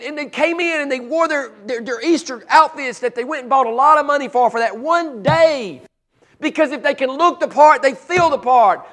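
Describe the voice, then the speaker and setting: A man preaching a sermon, his voice raspy from cheering at ball games and allergies, with a fervent way of building to emphatic, repeated lines. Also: very high-pitched, fairly bright tone. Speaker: pastor.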